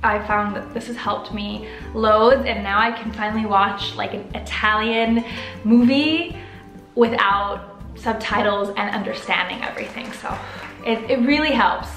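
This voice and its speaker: A young American woman vlogging to camera from her living room, upbeat, expressive and chatty. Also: mid-range voice, a little slow, roomy sound, soft background music. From United States. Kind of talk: casual to-camera vlog. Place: living room with sofa, indoors.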